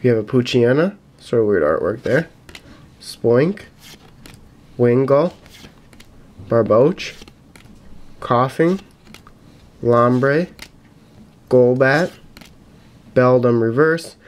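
A young man with a slightly raspy voice from a cold, giving casual, matter-of-fact commentary as he hosts an unboxing video. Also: medium pitch, lively intonation, drawn-out words, long pauses. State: getting over a cold. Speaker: YouTube host opening trading card packs. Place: indoors at a table in a home room. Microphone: camera mic at about arm's length. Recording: noisy room, card and wrapper crinkling. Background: crinkling packs, clicks, faint noise.

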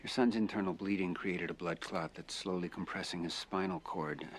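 An actor playing a hospital doctor who delivers bad news in a calm, grave manner. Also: male voice, deep voice, moderate pace, slight background noise, natural voice. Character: measured male hospital doctor. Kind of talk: TV drama acting.

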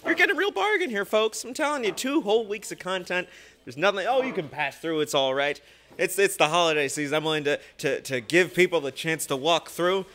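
A man's voice: high-pitched, expressive, a little fast, pushed and bright. A young man hosting a live show in a jokey, showman-like way. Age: young adult. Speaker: show host. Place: small studio, indoors. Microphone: stand microphone.

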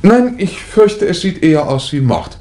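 An actor playing a cool, wry amateur detective in a 1960 radio mystery, with dry, theatrical banter. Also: male voice, on the high side, very animated, a little slow, darkish tone. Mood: urgent, polite. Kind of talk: 1960 German radio mystery play acting. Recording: vintage vinyl radio play, noticeable surface noise.

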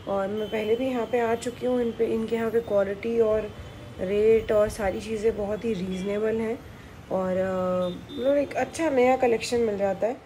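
A chatty woman in her thirties talks into a phone held at arm's length, dropping English words into her speech as she goes. Her voice sits a little high, with faint background noise behind her. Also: steady tone, moderate pace, warm tone, poor audio. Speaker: vlogger. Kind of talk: casual vlog narration. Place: outdoors by a garden, then at home, then in a busy shop.

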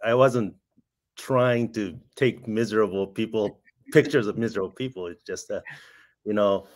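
Soft-spoken Japanese-born man, hesitant. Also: on the low side, moderate pace. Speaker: guest artist. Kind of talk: casual conversational interview. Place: home room, indoors. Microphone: video call.

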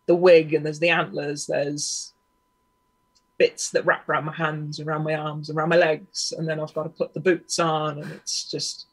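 A woman's voice: deep voice, level tone, normal speed, husky, low-quality recording. A woman guest chatting casually on a video call from home, easygoing with many fillers and a self-conscious laugh. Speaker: guest cosplayer. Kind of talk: casual interview chat. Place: home room. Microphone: webcam mic on video call.

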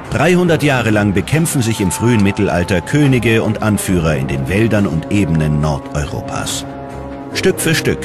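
Deep, dramatic male documentary narrator. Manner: dramatic, emphatic. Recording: loud music behind the voice. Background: loud music.

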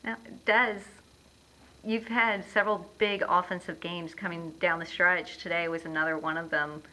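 A woman's voice: fairly low pitch, steady tone, normal speed, bright, forceful tone, rough recording. A woman who puts upbeat, leading questions to a coach and players at a post-game press conference. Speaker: team media interviewer.

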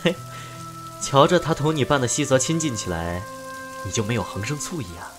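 A male actor plays a chatty, teasing immortal in a fantasy audio drama. His mid-pitched voice swoops with wry disbelief and nosy curiosity, over clear background music. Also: lightly acted. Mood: incredulous, teasing. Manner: animated, wry, drawn-out musing. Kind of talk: Chinese costume fantasy audio drama acting.

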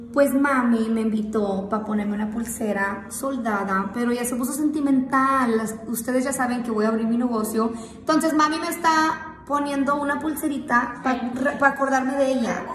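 A young woman chatting casually to a phone at arm's length, playful and teasing, dropping English words into her talk. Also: fairly high pitch. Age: young adult. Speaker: vlogger. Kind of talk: casual family vlog chat. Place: malls, restaurant and street. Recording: busy public spaces, music and crowd behind.

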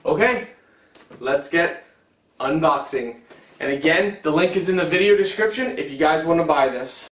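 A man's voice: fairly high pitch, lively intonation, normal speed, fairly bright tone. A man in his thirties doing a casual product unboxing review, talking as a reviewer at home. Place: room at home.

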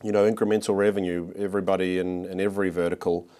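A man's voice: deep voice, little pitch movement, quick pace, mellow. A man in his forties with a New Zealand accent, a marketing executive answering interview questions with frequent fillers and restarts. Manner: hesitant, many restarts and fillers. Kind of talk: corporate interview. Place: office studio set.

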